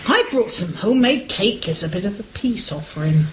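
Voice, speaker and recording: A woman's voice: medium pitch, animated, a little fast, close to an everyday voice. A woman playing a cheery, down-to-earth English big sister in a period radio play, breezy and teasing. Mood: friendly. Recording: faint background noise.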